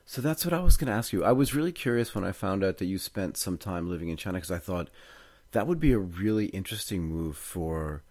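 Deep-voiced male podcast host. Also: expressive, fairly quick, a little mellow, dry recording. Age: middle-aged. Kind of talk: conversational podcast interview.

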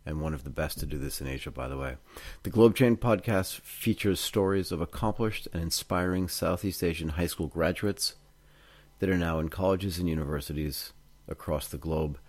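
A man's voice: sits low, normal speed, a little mellow, dry recording. A middle-aged man hosting a conversational podcast interview. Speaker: podcast host.

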